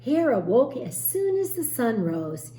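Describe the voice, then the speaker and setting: A woman teacher reading a storybook aloud expressively to young children, pausing to explain and ask questions. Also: on the high side, sing-song, warm tone. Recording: roomy echo, faint background noise.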